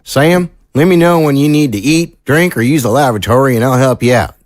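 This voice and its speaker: An actor playing an earnest, troubled small-town sheriff in an audio drama, speaking in a folksy, sincere, measured way. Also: male voice, mid-range voice, dry recording, clean studio sound, lightly acted. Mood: grave, candid.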